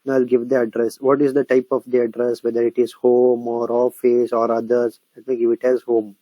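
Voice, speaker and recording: An Indian English-accented man guides viewers through a software demo, step by step. His voice is dark and mellow and sits at a middle pitch. The recording is dry and close, with no room sound. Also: monotone, brisk, no room sound, poor audio. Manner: explanatory, frequently checks in with "correct?" and "okay?"; repeats phrases while typing. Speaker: software instructor. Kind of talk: screen-recorded tutorial walkthrough.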